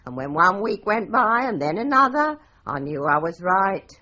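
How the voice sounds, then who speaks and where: A woman's voice: medium pitch, sing-song, moderate pace, sharp tone, dull audio. An actress playing a garrulous, dramatic middle-aged English landlady in a radio drama, with florid, declamatory storytelling. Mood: dramatic recounting. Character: garrulous, dramatic London landlady, respectable and indignant. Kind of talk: 1980s radio drama acting.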